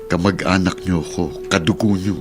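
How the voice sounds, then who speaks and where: A man's voice: low voice, steady tone, normal speed, natural voice. A man acting a patient, kindly middle-aged uncle and guardian in a Filipino radio drama, speaking earnestly. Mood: gentle, reassuring. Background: background music.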